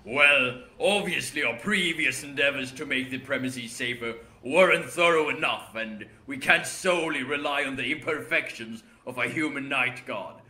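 Bright, forceful corporate spokesman. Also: medium pitch, moderate pace, few pauses. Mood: smooth, defensive. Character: evasive corporate spokesman defending animatronic security. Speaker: company spokesman. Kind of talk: scripted game cutscene dialogue. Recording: roomy, faint background noise.

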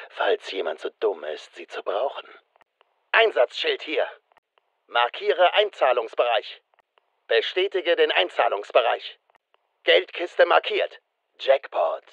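Masked slasher killer, gravelly, swooping pitch, sardonic, taunting, terse video game callouts. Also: male voice, a bit bright, no room sound, full character voice. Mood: sardonic, threatening. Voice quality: raspy. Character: masked male slasher killer, mocking, menacing, sadistic.

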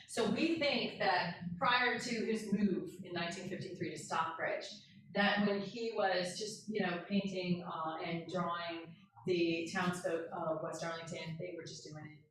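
A woman's voice: fairly low pitch, a bit bright. An American woman co-presenting an informal talk, sharing family memories and acting out little remarks as she goes. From Connecticut, USA. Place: echoey indoor presentation room. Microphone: far room camera or microphone. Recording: very echoey room, distant mic, audience noise.

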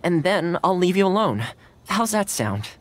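A man's voice: high voice, big pitch swings, normal speed, heavy background noise. An actor playing a friendly, caring, patient young man in a video game, with a casual, coaxing manner. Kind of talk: video game character dialogue. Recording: music under the voice.

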